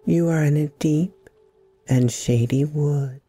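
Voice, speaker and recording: A woman hosting a guided meditation, speaking in soothing, deliberate phrases with long pauses and a breathy softness. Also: sits low, faint music behind. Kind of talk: slow guided meditation narration.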